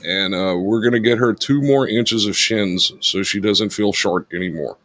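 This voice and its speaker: A man co-hosting a casual radio show, teasing the others with dry jokes in a deep voice. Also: moderate pace, fairly bright tone, dry recording. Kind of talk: casual banter.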